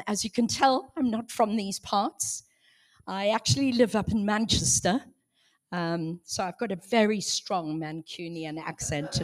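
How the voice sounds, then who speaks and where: A woman from South Africa with a hoarse, strained voice, speaking as a guest preacher in church, chatty and self-joking between gentle prayers. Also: mid-range voice. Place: church hall, in front of a congregation.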